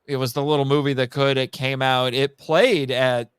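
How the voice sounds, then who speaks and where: A man's voice: medium pitch, fairly even intonation, moderate pace, clear, resonant tone, no room sound, studio quality. A man talking casually and enthusiastically about films as a podcast guest, with a jokey streak. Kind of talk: casual film discussion.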